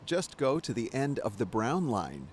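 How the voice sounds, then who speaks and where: A man's voice: mid-range voice, a little slow. Guy narrating a city documentary, wry and chatty, voice moves around a lot, music under him. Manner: wry, conversational, lively delivery.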